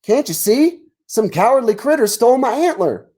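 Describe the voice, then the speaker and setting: A man's voice: very high voice, big pitch swings, moderate pace, dark tone, voice pushed up, exaggerated voice. A man voicing a frantic, alarmed deer who exclaims his way through a children's picture book. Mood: frantic, urgent. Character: frantic male deer, victim of theft.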